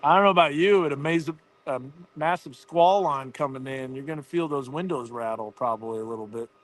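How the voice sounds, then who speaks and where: A man's voice: mid-range voice, a little fast. An American man hosting a casual livestream with enthusiastic, conversational live commentary. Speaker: livestream host.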